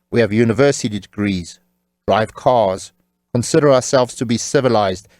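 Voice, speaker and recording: Male author, audiobook narration, wry and tongue-in-cheek delivery, mid-range pitch, very little room sound. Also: a little mellow, dry recording, studio quality.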